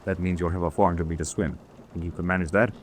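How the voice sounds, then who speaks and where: Guy playing calm pow officer giving a briefing, low voice, methodical, bit of background noise, war radio play. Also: quick pace, natural voice. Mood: brisk, instructive. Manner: instructive, matter-of-fact. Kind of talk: vintage-style war radio drama acting. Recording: noisy track with background ambience.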